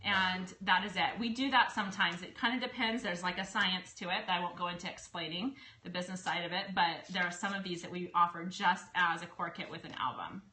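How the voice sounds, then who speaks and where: A woman's voice: on the low side, bright, forceful tone. A woman hosting a casual live product stream, chatty and bubbly, answering viewer questions. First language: English. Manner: bubbly, chatty, quick to laugh. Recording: echoey room, faint noise.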